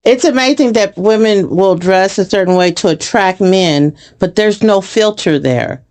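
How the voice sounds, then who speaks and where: A woman's voice: medium pitch, measured pace, a little mellow. A woman in casual, opinionated conversation, reflecting as she goes and feeling for the right phrase.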